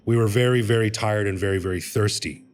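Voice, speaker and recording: A man's voice: on the low side, fast. A man in his forties, an upbeat and chatty podcast host who introduces guests and runs the interview. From Canada. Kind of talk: scripted intro and casual interview chat.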